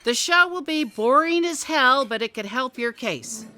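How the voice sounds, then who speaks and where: Bright-voiced, sassy woman podcaster. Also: high-pitched, lively intonation, a little slow, no echo, exaggerated voice. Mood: sardonic. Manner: wry, quippy. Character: sassy, wisecracking female podcast host. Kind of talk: contemporary radio drama acting.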